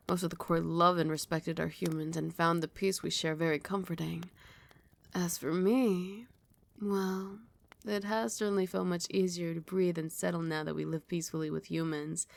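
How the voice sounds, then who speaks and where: Casting: female actor for regal vampire queen in ASMR roleplay, indulgent yet commanding mistress, low-pitched fry at the edges, breathy and whispery, formally coaxing. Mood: weary, composed. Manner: slow, formal, coaxing pet names. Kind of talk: ASMR roleplay, intimate scripted acting.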